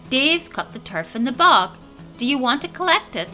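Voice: sits high, big pitch swings, normal speed, sharp tone, soft background music, exaggerated voice. A woman voicing a fussy, bossy farm wife who makes jam in a homemade stop-motion cartoon. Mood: bustling.

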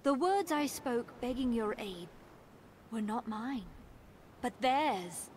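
An actress playing an earnest, sorrowful woman bound by fate in a fantasy game, speaking slowly and formally in archaic English. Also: fairly high pitch, lively intonation, darkish tone, slight background noise. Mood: solemn, searching. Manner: slow, measured, formal.

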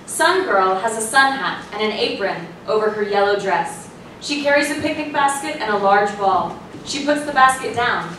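A woman's voice: medium pitch, expressive, normal speed, a bit bright. A woman reading scripted stage directions as narrator at a staged play reading. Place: small theatre, recorded from audience. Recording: very echoey room, distant mic, faint noise.

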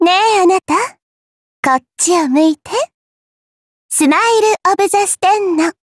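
Very high, dainty teasing goddess. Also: female voice, moderate pace, dry recording, studio quality. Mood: sweetly confident, then pained, fading. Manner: polite, coy, ladylike, airy phrasing. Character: dainty girlish goddess, elegant, teasing and coquettish. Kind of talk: anime mobile game battle voice lines. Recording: game audio with music behind.

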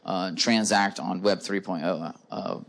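A man's voice: low-pitched, fairly even intonation, a little slow, vocal fry, fairly bright tone. A man speaking as a guest in an onstage conference interview, explaining in long runs full of "uh" fillers, into a handheld mic. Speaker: guest, company co-founder. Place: conference stage before a live audience.

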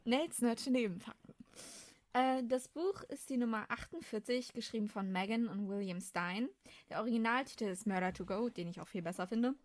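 A woman's voice: a little high, moderate pace, dry recording. A woman co-hosting a podcast in casual, colloquial and blunt chat. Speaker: podcast host.

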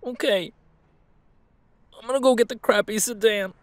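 A man's voice: high voice, moderate pace, no room sound, voice pushed up, close to an everyday voice. A man voicing an eager, anxious young male werewolf who pleads insistently in an audio drama. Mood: worried, reluctant.

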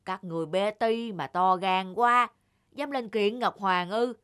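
A man voicing a big, gruff forest animal in a folk-tale cartoon, speaking slowly and weightily. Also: high-pitched. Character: big, gruff male forest beast, bear or tiger. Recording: loud music underneath.

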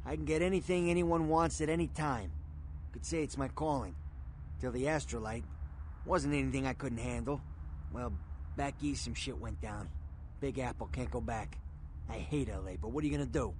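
A man's voice: medium pitch, moderate pace, darkish tone, a little hiss or hum, lightly acted, rough recording. A man voicing a street-wise, world-weary American underworld fixer, terse and matter-of-fact. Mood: matter-of-fact, guarded.

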